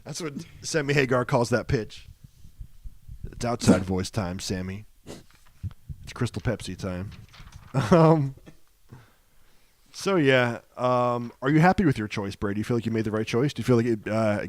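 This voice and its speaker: A man's voice: medium pitch, moderate pace, long pauses, no echo, studio quality. A man co-hosting a film podcast, chatting casually and cracking jokes. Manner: joking, wisecracking. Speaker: podcast co-host.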